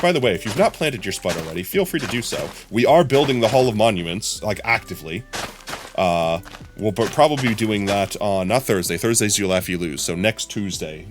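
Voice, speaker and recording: A young man with a hoarse, lowish voice rambles casually to his live-stream audience. His pitch moves a great deal as he talks, and faint music plays behind him. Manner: chatty, rambling, often addressing chat.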